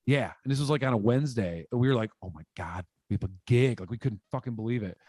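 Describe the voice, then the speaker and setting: A man in his thirties, a musician from Michigan, telling stories in a casual interview, rambling and animated, speaking into a studio mic at home. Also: mid-range voice, fast talker, dry recording. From Michigan, USA. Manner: animated, rambling, lots of "like" fillers and gestures. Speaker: guest musician. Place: home room, indoors. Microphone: studio mic on boom arm, video call.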